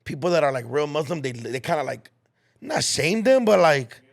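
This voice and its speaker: A man's voice: fairly high pitch, big pitch swings, normal speed, vocal fry. A young man whose first language is Spanish, chatting casually with slang and frequent restarts. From United States. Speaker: podcast guest. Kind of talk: casual chat.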